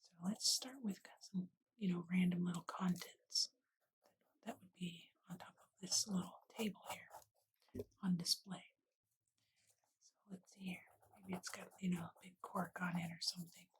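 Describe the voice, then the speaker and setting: A softly whispering ASMR host of unclear sex narrates a drawing gently and unhurriedly in short murmured phrases, close to the microphone. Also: medium pitch, flat delivery, moderate pace. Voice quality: soft, breathy whisper. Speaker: ASMR art channel host. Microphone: close USB condenser microphone. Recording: noisy close mic with clicks and pen scratching. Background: hiss, clicks and writing sounds.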